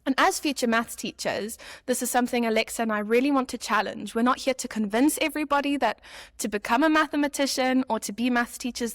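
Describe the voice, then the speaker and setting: A woman's voice: a little high, no echo, clean studio sound. A woman in her twenties from South Africa, co-hosting a podcast in an upbeat, scripted chat. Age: 20s. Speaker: podcast co-host.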